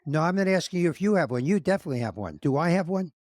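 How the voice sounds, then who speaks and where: A man's voice: a little high, lively intonation, racing, darkish tone, no echo, clean studio sound. A man from New York hosting a podcast interview, asking questions in a loose, rambling way. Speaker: podcast host. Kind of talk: casual interview.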